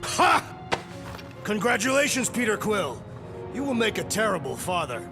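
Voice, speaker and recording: Blunt, animated alien warrior. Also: male voice, high-pitched, moderate pace. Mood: gleeful, mocking. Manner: formal, emphatic, without contractions. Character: blunt, literal-minded, booming alien warrior man. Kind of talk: video game cutscene acting. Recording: clear music behind.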